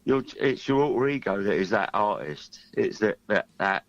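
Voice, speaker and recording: A middle-aged man from Australia talks casually as an interview guest. His voice is fairly low and comes through slightly muffled. He rambles and repeats phrases for emphasis. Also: lively intonation, moderate pace. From grew up in Australia. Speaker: musician guest. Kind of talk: casual chat.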